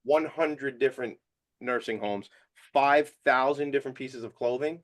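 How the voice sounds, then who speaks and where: A man hosting a podcast interview, playful and excitable, breaking in with bursts of enthusiasm. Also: medium pitch, moderate pace. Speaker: podcast host. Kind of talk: casual interview chat.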